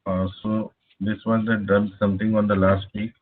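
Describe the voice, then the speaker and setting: A man teaching a live online programming class over a speakerphone, pausing often with "uh". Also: fairly low pitch, flat delivery, slight background noise. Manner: halting, many filler "uh"s. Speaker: programming instructor. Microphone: laptop speakerphone, no headset. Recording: tinny phone-like line.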